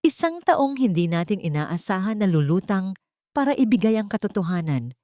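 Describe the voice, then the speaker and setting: A woman voicing a serious, soft-spoken man in a dramatic audio story, speaking quietly and gravely. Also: mid-range voice, big pitch swings, moderate pace, no room sound, studio quality, close to an everyday voice. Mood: grave, careful.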